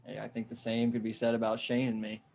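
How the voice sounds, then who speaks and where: A man playing a steady, caring father who leads survivors in a comic table read, earnest and encouraging, on a video call. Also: lowish voice, fast, creaky. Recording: noisy online video call.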